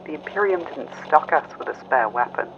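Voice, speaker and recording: A man's voice: fairly high pitch, expressive, brisk, background noise, pitched above the performer's own voice. A man voicing a weary, frightened female mech pilot in an audio drama, strained and pleading over a radio. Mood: bitter, resigned. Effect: radio filter. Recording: muffled cockpit radio with music.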